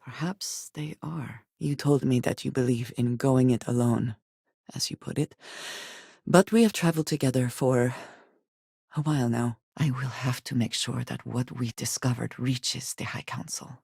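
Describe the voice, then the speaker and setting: An actress playing a guarded, formal woman spacefarer in a video game, loyal but wary and dry, with measured phrasing and few contractions. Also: extremely low pitch, gravelly, mellow, slightly rough, dry recording, heavily acted. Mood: grave. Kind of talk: video game voice acting, scripted dialogue.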